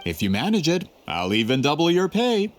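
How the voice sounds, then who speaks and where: A man's voice: a little high, huge pitch swings, moderate pace, noisy background. An actor playing a polite, persuasive male book publisher in a video game. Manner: formal, courteous, salesman-like.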